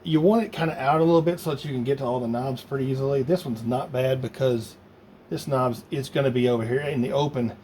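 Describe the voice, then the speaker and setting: A man talking casually and haltingly, thinking aloud as he works through a hands-on project. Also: medium pitch, steady tone. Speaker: hobbyist maker demonstrating a DIY project. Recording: loud background noise.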